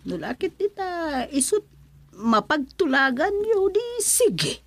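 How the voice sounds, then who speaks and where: A woman's voice: sits high, very animated, slow, no room sound, exaggerated voice. A woman acting a concerned, middle-aged auntie who gives motherly advice in a radio drama. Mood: gently probing. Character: concerned, motherly auntie advising her niece.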